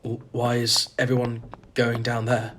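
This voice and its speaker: Over a clearly noisy atmospheric backdrop, an actor voices an apprehensive man telling a gothic horror tale. His delivery is level in pitch and faltering, full of unease in an audio drama style. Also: monotone, measured pace, a bit bright, close to an everyday voice. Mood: nervous, faltering. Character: uneasy male narrator drawn into a sinister festival.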